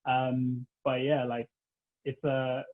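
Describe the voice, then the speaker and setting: A man's voice: medium pitch, completely flat delivery, slow pace. A man, chatting casually as a podcast guest and rambling with lots of "like". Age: 30s. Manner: rambling, heavy use of "like". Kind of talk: casual chat. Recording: tinny phone-like line.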